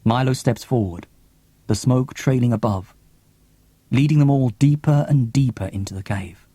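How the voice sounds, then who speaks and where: Bright, deliberate male audiobook narrator. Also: medium pitch. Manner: deliberate, theatrical. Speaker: author reading own audiobook. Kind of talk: dramatic scripted narration. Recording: cassette audiobook with long reverb.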